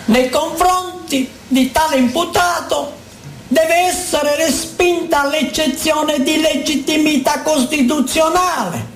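A woman giving formal, declamatory scripted narration in a dramatic performance, with deliberate phrasing. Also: high-pitched. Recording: echoey room with music behind.

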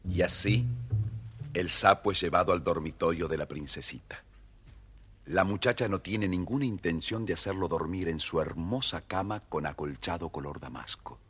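Low, husky male storyteller. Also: moderate pace, a bit bright, faint music behind. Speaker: storyteller, narrator. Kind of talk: expressive scripted children's narration.

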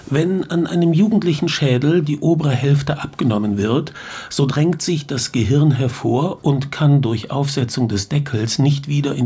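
An actor playing a learned professor of skull science, lecturing formally and pedantically in a German radio drama. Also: male voice, on the high side, a little mellow, husky, full character voice.

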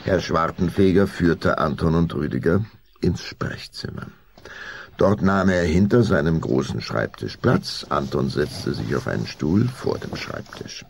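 Deep, gravelly, expressive male storyteller. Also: a little slow, slight background noise. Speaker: narrator. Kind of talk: scripted storybook narration for children's audio drama.

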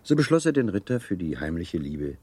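An actor in a German radio play playing a gallant, teasing seducer who tells stories in a suave, theatrical way. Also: male voice, low voice, sing-song, a little fast, dark tone, breathy edge, dry recording, exaggerated voice. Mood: suave, dramatic storytelling. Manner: theatrical, suave, savouring storytelling.

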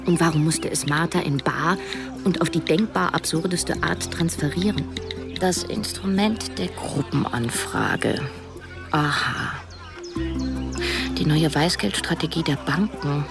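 A wry woman narrator with a low, gravelly voice. She tells her own conflicted story in a radio play, thinking out loud over music. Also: slow, exaggerated voice. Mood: pensive, curious. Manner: wry, introspective. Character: cash-strapped woman narrator, wry, conflicted amateur sleuth. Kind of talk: first-person radio drama narration.

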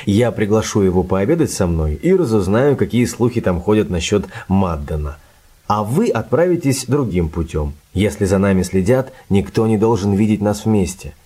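A man playing an easygoing young gentleman in a radio play. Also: on the low side, animated, moderate pace, breathy edge. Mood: eager, planning. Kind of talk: radio play acting with narration.

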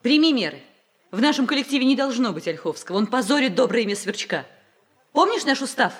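A woman's voice: on the high side, sing-song, fast. An actress playing a hot-tempered, easily upset young student woman in a 1960s radio comedy, speaking with emphatic indignation. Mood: heated.

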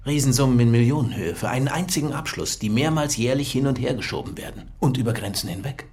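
An actor playing a resentful, plain-living police investigator in a German-language radio drama. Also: male voice, mid-range voice, fast talker, darkish tone, lightly acted. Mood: intent. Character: resentful working-class male police investigator.

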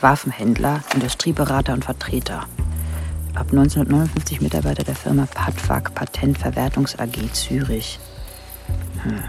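Hushed, gravelly earnest woman investigator. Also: extremely low pitch, fairly even intonation, moderate pace. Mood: studious, grave. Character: earnest woman investigating secret files, quietly troubled. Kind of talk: radio drama dialogue and reading aloud. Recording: studio radio drama with music underneath. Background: music.

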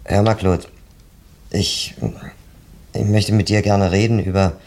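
A man's voice: fairly low pitch, little pitch movement, a little slow, a little mellow. An actor playing a teasing male friend who jokes too much, in a radio crime drama. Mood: friendly. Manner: joking, then apologetic.

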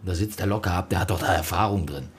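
An actor playing a blunt, embittered middle-aged police interrogator in a naturalistic radio drama, pressing hard in a husky voice. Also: male voice, racing, slight background noise, natural voice. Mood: frustrated. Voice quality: husky, low voice. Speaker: police detective.

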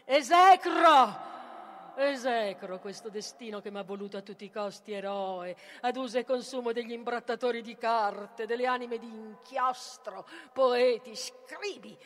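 A woman's voice: fairly high pitch, unhurried, fairly bright tone, a little hiss or hum. A woman playing a bitter, betrayed Greek hero in a declamatory tragic stage monologue, moving between whispers and outcries. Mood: cursing. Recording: reverberant hall with long echo.

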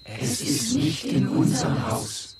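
An actor playing an eerie chorus figure, chanting in drawn-out phrases in a radio play. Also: male voice, very noisy, natural voice. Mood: eerie, intoning. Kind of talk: 1960s German radio drama.

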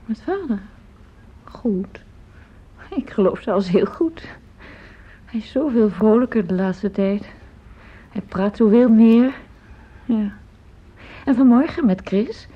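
An actress playing a gentle, ailing older mother in a radio drama, soft-spoken and reassuring. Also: fairly high pitch, lively intonation, takes it slowly, pauses often. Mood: wistful, fond. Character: gentle ailing older mother of grown children, downplaying her illness. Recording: dull lo-fi radio play recording.

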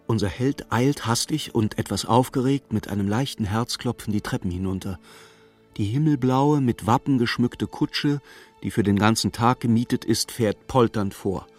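A man's voice: medium pitch, normal speed, a little mellow. A man narrating a radio play in scripted, literary present-tense prose. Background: music.

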